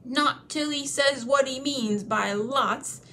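A woman voicing a suspicious, hungry male troll with a slow, threatening drawl. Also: high voice, bright, forceful tone, pitched above the performer's own voice, exaggerated voice. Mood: menacing.

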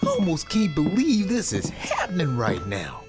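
Audio drama: actor as excitable, disbelieving male jailbird, hugely animated delivery, music bed. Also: a little high, moderate pace. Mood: incredulous, excited. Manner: incredulous, folksy. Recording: music under the voice.

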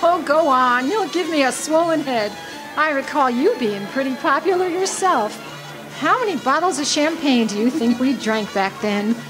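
A woman's voice: high voice, lively intonation, normal speed, music in the background, low-quality recording. A woman acting in a radio drama as a feisty, bewildered gold-rush singer, with a theatrical, plaintive manner. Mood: surprised, fond. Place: live performance at a community venue.

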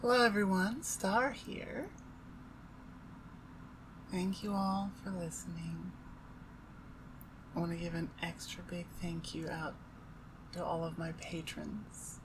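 A woman's voice: fairly low pitch, fairly even intonation, slow pace, pauses often, noisy background. A woman chatting casually to her listeners as an audio creator, informal and rambling, with hesitant phrasing.

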